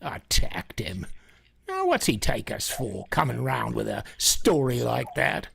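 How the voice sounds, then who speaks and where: Man playing a very gravelly skeptical farmer. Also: medium pitch, wildly swooping pitch, a little slow, fairly bright tone, full character voice. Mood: scornful. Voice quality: gravelly, husky. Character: gruff, dismissive, skeptical older countryman farmer. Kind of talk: radio drama acting.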